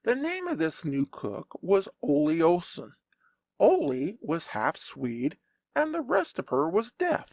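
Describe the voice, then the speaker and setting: Animated man reading a humorous story, gravelly edge. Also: fairly high pitch, unhurried, no echo, highs cut off. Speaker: audiobook narrator. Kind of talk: scripted humorous narration.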